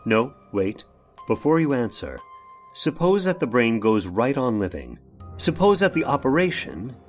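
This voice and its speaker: An actor playing a nervous, defensive man in an old-time radio horror drama, speaking haltingly and emphatically as if making a confession. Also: mid-range voice, close to an everyday voice. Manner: halting, emphatic, rhetorical questioning.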